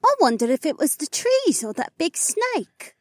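A woman voicing earnest, devoted elven and spirit women in a fantasy audiobook, with an expressive manner. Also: high voice, normal speed, dry recording, studio quality. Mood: curious.

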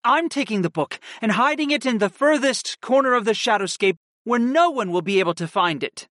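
A narrator putting on a sharp, impatient aunt. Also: female voice, fairly high pitch, expressive, brisk, no room sound, studio quality, pitched above the performer's own voice. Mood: insistent. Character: stern secretive aunt, an impatient guardian.

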